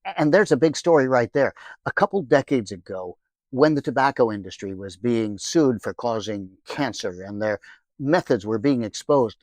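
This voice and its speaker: A man's voice: medium pitch, no room sound. An American man hosting, delivering a theatrical yet conversational scripted introduction. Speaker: host. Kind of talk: podcast introduction, semi-scripted narration.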